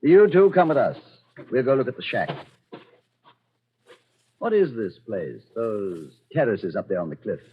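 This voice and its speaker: Suave, menacing Nazi colonel, swooping delivery. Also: male voice, lowish voice, expressive, a little slow, long pauses, husky. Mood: brisk, commanding. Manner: mock-polite, precise, commanding. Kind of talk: 1940s radio drama acting. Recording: dull, noisy 1940s radio recording.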